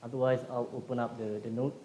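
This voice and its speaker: A man of middle pitch hosts a Q&A, friendly and upbeat as he invites questions. The room around him is echoey. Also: little pitch movement, quick pace, mellow. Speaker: event moderator. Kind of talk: hosting a Q&A. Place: meetup event room.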